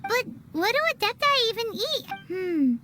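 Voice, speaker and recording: A woman voicing a tiny, childlike, excitable fairy companion in a fantasy video game, squeaky and chirpy with a naive manner. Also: normal speed, very noisy. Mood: excited, scheming. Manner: chirpy, naive, talks about self in third person.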